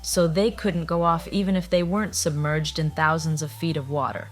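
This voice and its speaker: A woman's voice: low-pitched, fairly even intonation, normal speed, soft background music, natural voice. A woman voicing a touchy, easily exasperated museum warplane in a dry, guarded cartoon manner. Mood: polite.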